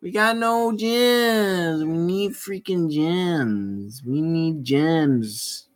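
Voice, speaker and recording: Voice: mid-range voice, very slow. A streamer chatting casually with viewers while playing a visual novel, rambling with many restarts and apologies.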